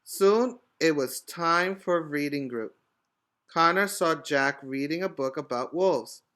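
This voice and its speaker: Man as preschool teacher reading to little ones: slow, emphatic, bright delivery, stops to explain and ask questions. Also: fairly high pitch, animated. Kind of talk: expressive storybook read-aloud with asides to children.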